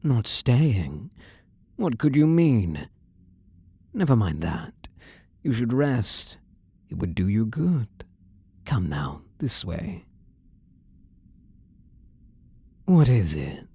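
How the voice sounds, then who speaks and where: A man's voice: medium pitch, very animated, slow, creaky, pauses often, mellow, breathy edge, no echo, heavily acted. A man playing a possessive demon-possessed ex-boyfriend in a scripted roleplay audio drama, condescending and teasing with coaxing endearments. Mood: coaxing, falsely gentle. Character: controlling, possessive demon-possessed ex-boyfriend, smug and menacingly tender.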